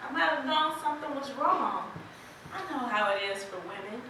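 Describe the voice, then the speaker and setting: A woman's voice: high voice, animated, moderate pace. A woman playing a regretful, protective, plainspoken farmer's wife in an amateur stage play, with an emphatic, emotional delivery. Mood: wistful regret. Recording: echoey stage, distant mic, faint noise.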